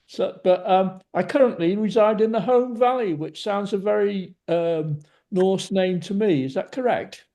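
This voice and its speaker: A man with a Welsh accent and Welsh as his first language asks a question over a video call. His voice sits a little high for a man yet sounds mellow and warm. He speaks haltingly, filling gaps with uhs and ums. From Wales. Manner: hesitant, with many uhs and ums. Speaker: audience member asking a question.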